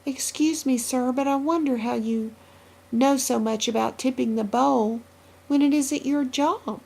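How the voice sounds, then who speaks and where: Polite little boy, read by a woman, careful and courteous, a bit high, husky and gravelly. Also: expressive, normal speed. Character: polite young boy Tom.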